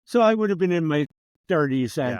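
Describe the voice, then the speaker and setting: A man in his sixties, a professor, sits in as a podcast guest. His voice sits fairly high for a man, and he tells his stories with chatty, wry humor. The sound is close and dry. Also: lively intonation, brisk, a little mellow, dry recording. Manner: reminiscing storyteller, chatty and wry. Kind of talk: casual conversational interview.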